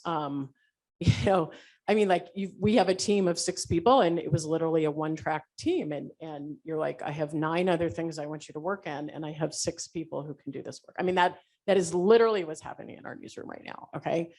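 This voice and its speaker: Low-pitched woman panelist; animated, rambling, leans on fillers. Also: a little fast. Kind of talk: panel discussion.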